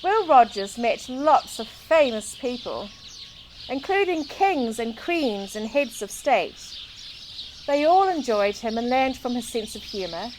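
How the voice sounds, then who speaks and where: Lady reading a kids book outside, slow and expressive, birds chirping behind her. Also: on the high side, slight background noise. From New Zealand. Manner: expressive, deliberate read-aloud delivery. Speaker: children's book reader. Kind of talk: storybook narration for children. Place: outdoors in a garden. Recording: outdoor recording with clear birdsong.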